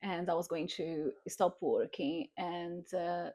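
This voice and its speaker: A woman with a Brazilian Portuguese accent, her first language being Portuguese, talking through her life story in relaxed conversation. Her voice sits a little low. She pauses often and restarts her sentences. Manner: hesitant, with many fillers and restarts. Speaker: guest. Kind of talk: casual chat.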